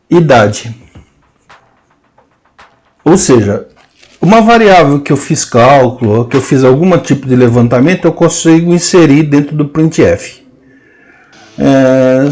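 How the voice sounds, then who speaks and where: Slow, deliberate male programming teacher. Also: fairly high pitch, darkish tone. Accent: Brazilian Portuguese. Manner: spells out code symbol by symbol, with many hesitant pauses. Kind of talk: slow screen-cast coding lesson, dictating code aloud.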